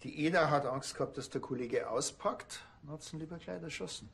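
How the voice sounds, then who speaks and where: A man's voice: fairly high pitch, brisk, vocal fry, fairly bright tone, slightly rough, full character voice. An actor playing a wry, stubborn small-town policeman with a Bavarian accent in a TV crime comedy. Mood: matter-of-fact.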